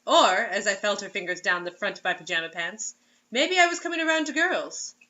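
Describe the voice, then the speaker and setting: A woman's voice: medium pitch, animated, a little fast, sharp tone, highs cut off. A woman reading a story aloud as host, in a mocking dramatic way, breaking off for sarcastic casual asides.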